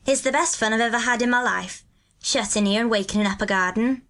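A woman's voice: a little high, fast, a bit bright, pitched above the performer's own voice. A woman voicing a cheerful, earthy twelve-year-old country boy in a storybook, with a hearty, good-natured Yorkshire dialect. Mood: eager, happy.

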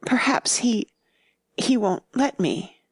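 Woman playing a husky, flustered nurse. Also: medium pitch, very animated, darkish tone, dry recording. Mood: hesitant. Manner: hurried, urging, anxious. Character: flustered, frightened grown-up children's nurse.

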